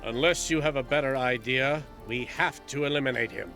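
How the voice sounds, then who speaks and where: A man's voice: mid-range voice, fairly quick, pushed and bright, faint music behind. A man playing a grave, formal councilor of another realm in an audio drama, solemn and matter-of-fact. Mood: cold verdict.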